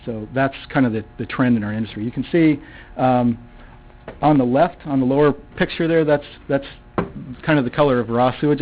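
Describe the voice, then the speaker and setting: A soft-spoken man gives a presentation at a public council meeting. He talks in a halting way, with many fillers and restarts. The sound is thin and boxy, like a phone line. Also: mid-range voice. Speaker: utility presenter at city council.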